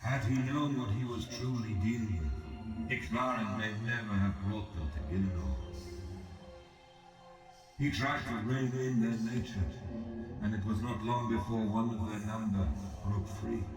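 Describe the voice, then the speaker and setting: An actor playing an ancient exiled god-emperor in a video game, cold, calculating and philosophical, speaking in a measured, solemn, formal way. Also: male voice, lowish voice, natural voice. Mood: grave recollection. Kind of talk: scripted video game character dialogue. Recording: roomy distant reverb with background music.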